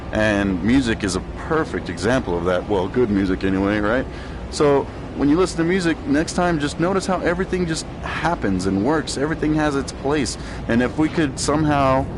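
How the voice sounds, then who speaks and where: A man giving casual, rambling commentary, musing tentatively and often trailing off. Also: mid-range voice. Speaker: gameplay streamer and commentator. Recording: loud vehicle and ambient noise.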